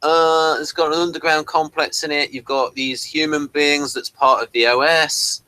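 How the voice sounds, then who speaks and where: A man talks through a casual review as co-host. His voice is bright and forward, and his delivery is hesitant and meandering, circling around each point. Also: fairly high pitch, fairly even intonation, moderate pace, clean tone, rough recording. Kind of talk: casual chat reviewing audio drama.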